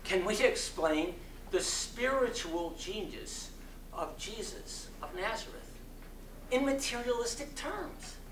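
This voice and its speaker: A man giving a dramatic sermon reading to a live audience, with deliberate, oratorical phrasing. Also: sits high, big pitch swings, a bit bright. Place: community center hall. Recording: roomy echo, distant mic, faint noise.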